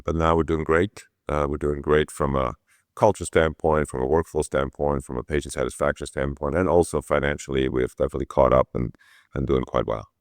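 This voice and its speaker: A man's voice: rumbling low, fairly even intonation, a little fast, no room sound, studio quality. A middle-aged man from Switzerland telling his own story conversationally as an interview guest. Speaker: interview guest, orthopedic surgeon.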